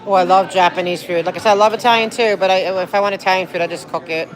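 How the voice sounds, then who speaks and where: A woman's voice: on the low side, steady tone, racing, poor audio. A young woman from New Jersey chatting casually on a livestream, rambling and reading viewer comments aloud in a restaurant. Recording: crowd chatter in a busy public place.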